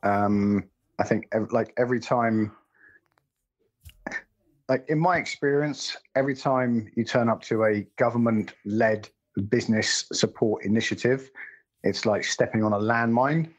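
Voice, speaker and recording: A man's voice: medium pitch, unhurried. A man co-hosting a podcast, rambling in casual chat with wry asides and self-corrections. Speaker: podcast co-host. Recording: dull lo-fi sound.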